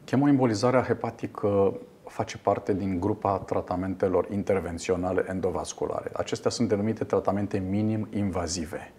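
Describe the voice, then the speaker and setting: A man in his fifties, a radiologist guest in a TV studio interview, explaining a procedure in a measured way with frequent fillers. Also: lowish voice, mellow, a little hiss or hum. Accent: Romanian. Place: television studio.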